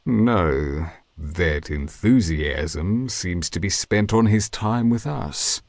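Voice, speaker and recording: A man's voice: low voice, animated, slow pace, gravelly, dry recording, heavily acted. A man playing an earnest, polite young male paladin trainee in a visual novel, with formal phrasing. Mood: friendly. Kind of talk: scripted visual novel acting.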